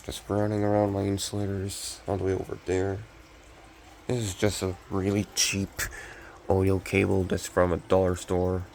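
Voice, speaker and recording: A young man with a scratchy, gravelly voice from a recent illness, giving a casual, unhurried, off-the-cuff show-and-tell as a hobbyist. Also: deep voice, monotone, darkish tone. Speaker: hobbyist collector showing restored radio.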